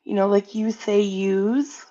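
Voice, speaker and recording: A Canadian woman with a Canadian accent, a guest on the panel, speaking softly in short replies. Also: medium pitch, fairly even intonation, takes it slowly, a crowd in the background. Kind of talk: casual chat. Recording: dull lo-fi audio.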